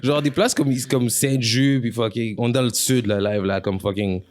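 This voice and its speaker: A man's voice: mid-range voice, steady tone, normal speed. Quebec man in loose slangy chat. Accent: Quebec French. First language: a language other than French or English. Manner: loose, slangy, mixes English into his French, frequent restarts. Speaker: guest rapper. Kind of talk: casual podcast conversation.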